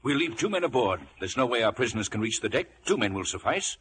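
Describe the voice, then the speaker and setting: An actor playing a brisk, stern ship's captain with clipped, formal authority in an adventure drama. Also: male voice, medium pitch, sing-song, a little hiss or hum, lightly acted. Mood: businesslike. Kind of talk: dramatized adventure story acting.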